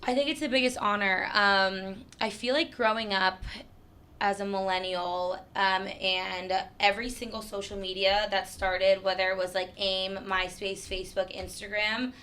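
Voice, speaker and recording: A woman's voice: mid-range voice, steady tone, moderate pace, bright, forceful tone. A woman in her twenties from New Hampshire, a guest chatting casually on a podcast, with lots of "like" fillers. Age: 20s. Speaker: guest, creative director.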